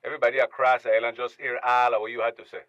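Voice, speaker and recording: A man's voice: mid-range voice, level tone, racing, a bit bright, dry recording, natural voice. A man playing a blunt, teasing radio caller in colloquial, casual talk. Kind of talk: radio drama acting, casual phone-in. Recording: dull, dry, lo-fi radio sound.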